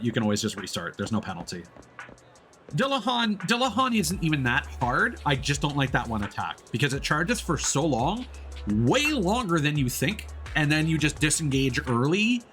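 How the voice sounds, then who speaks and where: A man giving casual, off-the-cuff commentary as a livestreamer, thinking aloud in a halting way. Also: mid-range voice, fairly bright tone. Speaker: livestreamer, gamer. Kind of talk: casual gaming stream commentary.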